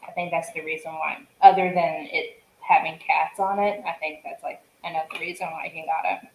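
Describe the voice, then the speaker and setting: A woman's voice: low voice, level tone, moderate pace, vocal fry, a little hiss or hum. Tired woman with a roomy sound. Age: 20s. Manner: wry, tired, self-mocking. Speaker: guest. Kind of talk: casual group chat.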